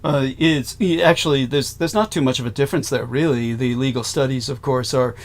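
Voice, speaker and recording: A man's voice: medium pitch, fairly even intonation, a little fast. A man hosting a podcast conversation, formal and effusive, often restarting his sentences. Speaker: host.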